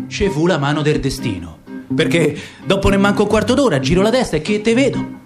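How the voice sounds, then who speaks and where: An actor as a nostalgic middle-aged man with a Roman accent recites dialect verse like a storyteller. His voice sits fairly high and swings widely in pitch, with guitar playing clearly behind him. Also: natural voice. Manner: expressive, storytelling. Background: acoustic guitar music.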